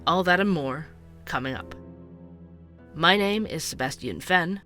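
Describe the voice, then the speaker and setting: Bright-voiced actor as a musing male podcast host. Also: a little high, animated, a little slow, soft background music. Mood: earnest, intrigued. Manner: musing, confiding, addresses listeners directly. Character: bored night-shift coffee shop worker turned earnest investigative podcaster. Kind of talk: scripted narrative podcast narration.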